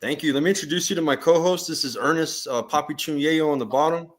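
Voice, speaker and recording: A man's voice: fairly high pitch, fast. A man hosting a boxing interview with casual, slangy, informal talk. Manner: slangy, informal fan-like address. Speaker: boxing show host.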